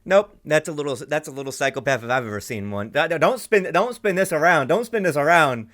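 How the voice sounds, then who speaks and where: A young adult man hosting a reaction video, chatty and excitable, musing aloud and breaking into loud outbursts. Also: on the high side, a little fast. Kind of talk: casual reaction commentary.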